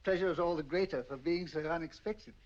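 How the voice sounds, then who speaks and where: Actor as high-voiced, jovial English gentleman, muffled soundtrack. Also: fairly quick, lightly acted. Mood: jovial, charming. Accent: British English. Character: jovial, flirtatious middle-aged English gentleman collector, carefree. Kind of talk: 1940s film acting. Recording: muffled old film soundtrack.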